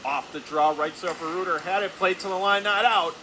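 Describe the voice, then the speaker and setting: A man calling live ice hockey play-by-play for radio in clipped phrases, getting excited on scoring chances. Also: high-pitched, fairly quick. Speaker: play-by-play announcer. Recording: clear background noise, arena ambience.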